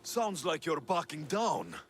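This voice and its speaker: An actor playing a cocky, confident Greek mercenary hero in a video game, dry and taunting. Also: male voice, fairly high pitch, wildly swooping pitch, unhurried.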